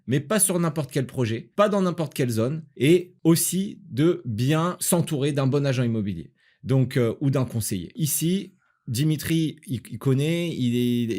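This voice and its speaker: A man's voice: medium pitch, moderate pace, dark tone. A man from the south of France hosting a casual podcast as a property agent, explaining things by asking and answering his own questions. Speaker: host, real estate agent.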